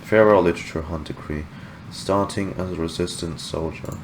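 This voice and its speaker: A man giving casual, deadpan reaction commentary on a video game, swearing freely, with game music behind him. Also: sits low, a little fast, background noise.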